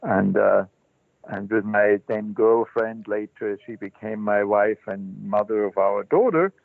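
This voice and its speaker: An older man, a Zen teacher telling his life story as an interview guest, halting and thoughtful with many restarts. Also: lowish voice, vocal fry, no room sound. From Switzerland. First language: German. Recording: dry, tinny phone-line sound.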